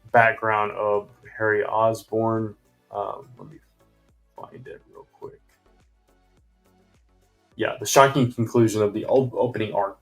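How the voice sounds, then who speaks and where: A man's voice: lowish voice, darkish tone, rough recording. Man losing his voice, rambling comic reviews. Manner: rambling, many ums and uhs, enthusiastic. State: losing his voice, dry mouth. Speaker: comic book channel host. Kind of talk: casual comic review vlog.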